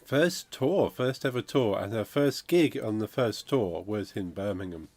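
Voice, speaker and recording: A man, croaky from a cold, chatting casually on a game dev stream and reading out chat. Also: on the low side, expressive, a little slow, a little mellow, no room sound. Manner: rambling, chatty, reads out chat messages. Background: funky music playing.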